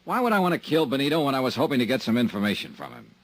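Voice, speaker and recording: An actor playing a tough, wisecracking insurance investigator, with dry, sardonic narration and dialogue in a 1950s radio drama. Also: male voice, medium pitch, huge pitch swings, very fast, no echo. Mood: wry, guarded.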